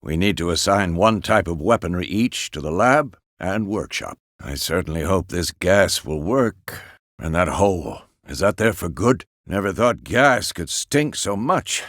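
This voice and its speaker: A man playing a gruff, seasoned old monster-hunter mentor in a video game, with gravelly, measured, instructive delivery. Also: sits low, lively intonation, a bit bright, dry recording, clean studio sound, full character voice. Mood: stern, practical. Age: older. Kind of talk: video game character dialogue.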